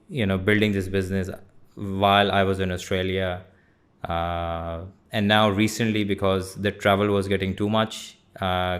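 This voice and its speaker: A Pakistani man in his forties recounts his life story calmly and hesitantly. His voice is very deep and flat in tone. He fills his pauses with frequent "uh"s. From Karachi, Pakistan. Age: 40s. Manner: hesitant, many fillers, calm. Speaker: company co-founder, guest. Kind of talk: interview answers.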